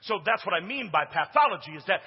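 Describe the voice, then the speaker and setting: A male pastor with a big booming voice and a high-pitched, husky edge, preaching in a church sanctuary. He drops to hushed asides, then builds to shouts while prompting the congregation. The sound is dull and muffled, with long reverb trailing behind him. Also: sing-song, quick pace. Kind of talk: sermon.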